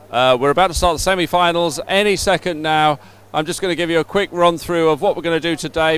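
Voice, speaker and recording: A man's voice: fairly high pitch, clean tone. A man hosting a live sports stream in an informal, somewhat hesitant way. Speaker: livestream host.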